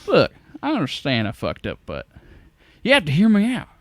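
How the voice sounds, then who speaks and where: An actor playing a brash, defensive genius scientist in an audio drama, talking bluntly and indignantly. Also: male voice, a little high, huge pitch swings, moderate pace, a bit bright, dry recording, clean studio sound.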